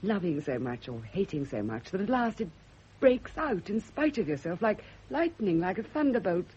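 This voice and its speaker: A woman's voice: mid-range voice, very animated, a little mellow. An actress playing a fervent, lovesick woman who confesses hidden passion, in a theatrical classic radio drama style. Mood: passionate, pleading. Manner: fervent, breathy pauses, theatrical intensity. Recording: dull old radio recording, faint hiss.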